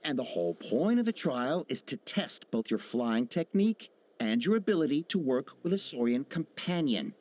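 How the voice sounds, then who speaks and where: A man's voice: mid-range voice, big pitch swings, moderate pace, a little mellow, close to an everyday voice. An actor playing a young male trial candidate who speaks in a hesitant, questioning way. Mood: doubtful. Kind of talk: video game cutscene dialogue.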